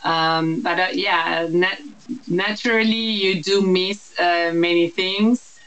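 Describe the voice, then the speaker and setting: A woman's voice: on the low side, steady tone, takes it slowly, a bit bright, clear, resonant tone, muffled recording. A woman, talking as an interview guest in a reflective, casual way with frequent restarts. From Uruguay.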